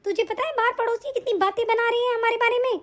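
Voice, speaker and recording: A woman's voice: very high voice, animated, moderate pace. A woman playing a spiteful, scheming, hot-tempered mother-in-law in a family melodrama, scolding and complaining. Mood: angry, threatening. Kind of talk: domestic family melodrama acting.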